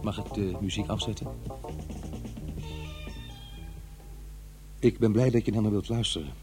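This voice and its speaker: An actor playing an uneasy, defensive middle-aged divorced father in a radio drama, confiding in a halting, conversational way. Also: on the low side, fairly even intonation, over music, close to an everyday voice. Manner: halting, hesitant, self-interrupting. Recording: faint noise and hum.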